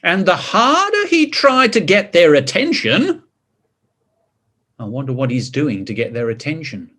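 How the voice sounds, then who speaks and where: Expressive man reading slowly, very lively pitch. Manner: expressive, drawn-out words, chatty questions to listeners. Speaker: story reader. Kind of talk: animated picture-book reading with asides to children.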